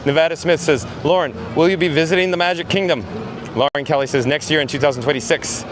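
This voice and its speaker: Chatty hoarse man hosting a live walk. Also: on the high side, fast, background noise. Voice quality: hoarse, a little raspy. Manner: chatty, talking to the chat while fiddling with gear. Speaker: livestream host. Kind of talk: casual live walking vlog. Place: outdoor theme park and ride queue. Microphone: camera rig mic.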